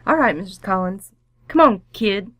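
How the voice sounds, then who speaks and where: A woman's voice: mid-range voice, animated, normal speed, a bit bright, no echo. A woman playing a composed, firm suburban wife in an old-time radio comedy, polite with dry sarcasm. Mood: coolly amused.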